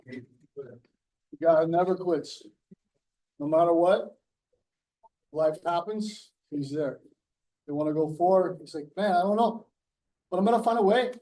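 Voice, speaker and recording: Coach talking in an echoey gym. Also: male voice, on the high side, a little slow, pauses often, mellow, far from the mic. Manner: heartfelt, acts out quotes with a rising voice. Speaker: jiu-jitsu coach. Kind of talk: speech at a belt promotion. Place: martial arts gym.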